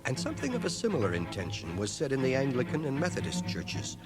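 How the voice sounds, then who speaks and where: An actor playing a grave, reflective man who narrates his boyhood memories in a measured, literate, dramatic style. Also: medium pitch, wildly swooping pitch. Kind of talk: scripted radio drama narration. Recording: old radio drama from cassette, music underneath.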